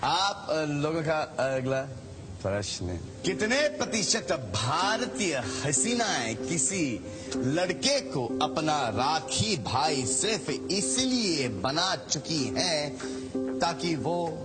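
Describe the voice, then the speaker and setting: A man's voice: fairly high pitch, very animated, extremely slow. A man hosting a quiz game show in a TV studio, teasing the contestants as he announces percentages and scores. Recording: loud background music. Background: loud music.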